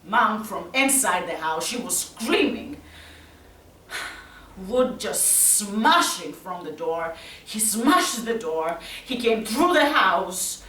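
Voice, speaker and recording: Woman acting a slow, haunted, troubled youth onstage. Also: medium pitch. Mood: anguished, agitated. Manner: slow, halting, intense, from hushed to loud. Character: tense, troubled youth haunted by violent parents. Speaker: actress performing a solo monologue. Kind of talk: dramatic stage monologue. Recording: roomy, distant mic, faint noise.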